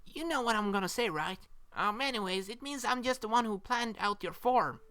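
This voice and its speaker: A woman's voice: on the high side, normal speed, fairly bright tone, clear, resonant tone, faint music behind, close to an everyday voice. A woman playing an earnest, hesitant young boy in an audio drama, speaking a heartfelt message to a companion he misses. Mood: heartfelt, faltering. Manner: hesitant, earnest, conversational with small nervous laughs.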